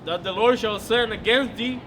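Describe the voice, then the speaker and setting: A man reading scripture aloud slowly and carefully for a street preacher outdoors. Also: high voice. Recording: distant mic with loud traffic noise.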